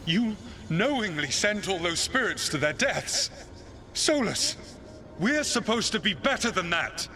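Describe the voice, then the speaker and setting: An actor playing a passionate, idealistic elven rebel leader in a fantasy video game, intense and earnest. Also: male voice, high voice, sing-song, measured pace, pushed and bright, exaggerated voice. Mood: bitter, indignant.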